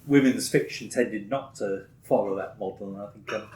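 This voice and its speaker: A man's voice: on the low side, moderate pace, a little mellow. A man interviewing in an informal literary discussion, with a tentative, thoughtful way of talking. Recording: echoey room, faint background noise.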